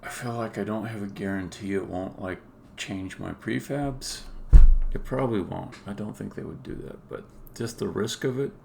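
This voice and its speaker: A man's voice: on the low side, normal speed, vocal fry, a little hiss or hum. A man giving casual live-coding commentary as a game developer, muttering to himself while he works.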